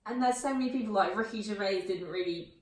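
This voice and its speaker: A woman's voice: medium pitch, fast talker, a little mellow. A young woman co-hosting a podcast, chatting casually with frequent fillers. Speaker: podcast co-host. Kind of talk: casual chat. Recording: very echoey room, mic at a distance.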